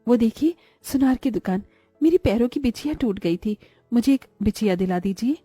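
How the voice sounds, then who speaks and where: A woman acts out a greedy, envious young wife from a cartoon moral story. Her voice is fairly high and soft-edged, with a nagging, sharp-tongued manner. It is recorded close and dry over music. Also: animated, normal speed, husky, no room sound. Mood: coaxing.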